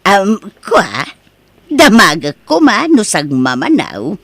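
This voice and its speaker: A woman's voice: mid-range voice, huge pitch swings, slow pace, dry recording, full character voice. A woman with an Ilocano accent from the Philippines playing a scheming, outspoken middle-aged wife in a radio-style comedy drama, brash and argumentative. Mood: polite, eager.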